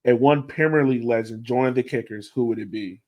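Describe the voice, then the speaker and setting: A man's voice: medium pitch, fairly quick, darkish tone. Laid-back man chatting on a podcast. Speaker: podcast co-host. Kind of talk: casual soccer podcast chat.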